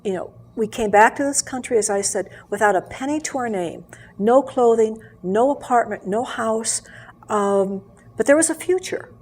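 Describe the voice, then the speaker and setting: Husky-voiced older woman telling her story. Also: a little high, expressive, a little mellow. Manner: earnest, measured, with frequent fillers and restarts. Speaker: interview guest. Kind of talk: reflective personal storytelling. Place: local TV studio.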